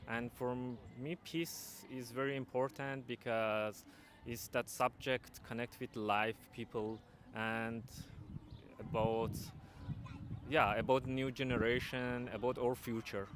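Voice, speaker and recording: A man's voice: mid-range voice, fairly even intonation, slow pace, slight background noise. Earnest man. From Iran. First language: Farsi. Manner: earnest, simple non-native phrasing. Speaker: festival participant. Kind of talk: street interview. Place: outdoor festival square.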